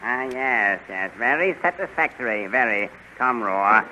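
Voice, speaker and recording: An actor playing a haughty, suspicious usurper king in a 1930s radio adventure serial, giving imperious orders with musing hums and pauses. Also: mid-range voice, animated, natural voice. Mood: self-satisfied, commanding. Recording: muffled, noisy 1930s radio recording.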